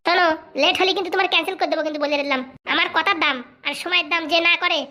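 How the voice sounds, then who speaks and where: A woman's voice: very high-pitched, steady tone, pushed and bright. A woman voicing a cunning, boastful young male political fixer in a comic cartoon, brash and bossy, with a rural Bengali accent. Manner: brash, bossy, fast-talking.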